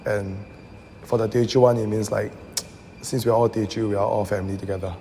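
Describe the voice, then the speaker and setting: Gravelly, mellow young man. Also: on the low side, fairly even intonation, fairly quick, a little hiss or hum. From Singapore. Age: young adult. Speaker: design student interviewee. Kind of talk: casual interview answers.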